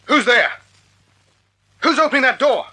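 Agitated bitter man in an old radio play, high voice, very tense and raspy. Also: animated, measured pace, sharp tone, exaggerated voice. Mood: nervous, defensive. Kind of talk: 1940s radio drama acting. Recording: old radio drama recording.

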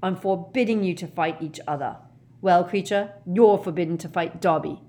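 A woman's voice: fairly low pitch, normal speed, dark tone, lightly acted. A woman voicing an earnest teenage boy wizard who speaks firmly and plainly.

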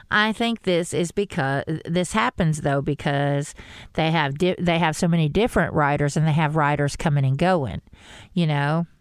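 A woman co-hosts a casual fan podcast, telling stories with lively, rising exclamations. Her voice is smooth and clear, recorded dry and close with no room sound. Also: sits low, a little slow, no room sound. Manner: chatty, animated storytelling with rising exclamations. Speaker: podcast co-host. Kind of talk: casual fan discussion chat.

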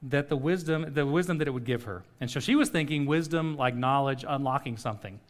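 A man's voice: mid-range voice, a little fast. A middle-aged man preaching a sermon in a conversational way, often checking in with "okay?". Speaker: pastor.

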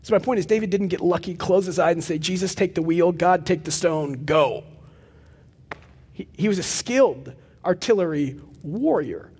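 A young man preaching a sermon as pastor of a church, with a loud, projecting voice and animated storytelling asides. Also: sits high, normal speed, husky, big-room sound. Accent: Texan. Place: large church hall with live audience.

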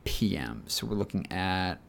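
Low, scratchy-voiced man telling stories. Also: very animated, moderate pace, gravelly. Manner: rambling, chatty storytelling. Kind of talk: casual chat.

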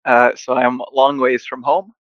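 A man's voice: medium pitch, level tone, moderate pace, a bit bright, no echo, lo-fi sound. A young man from Mexico, a guest on a podcast, talking in a friendly, joking way over a video call from home. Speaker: podcast guest, agency founder. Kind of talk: casual interview. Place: home, recording remotely.

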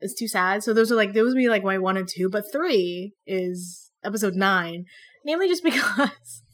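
A woman's voice: medium pitch, normal speed. A young woman born in the Philippines with an American accent, chatting animatedly and bluntly as a podcast co-host. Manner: animated and blunt, swears casually. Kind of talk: casual chat about a TV show.

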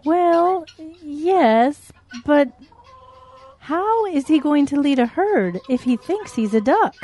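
A woman's voice: sits high, animated, slow pace, dark tone. A woman voicing a fretful, caring mother cow in an audio drama, motherly and exclaiming. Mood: concerned.

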